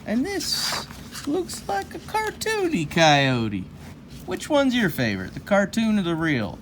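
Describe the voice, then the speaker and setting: Guy reading a kids book out loud, super animated, folksy jokey side comments, bit of background noise. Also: sits high, takes it slowly. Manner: folksy, rambling, jokey asides. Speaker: host of a children's read-aloud show. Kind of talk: animated storybook reading with casual playful asides.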